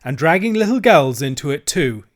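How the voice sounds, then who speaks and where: A man doing a sneering rough horse dealer. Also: high voice, very animated, moderate pace, voice pushed up. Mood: bullying. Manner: scornful, bullying rough dialect.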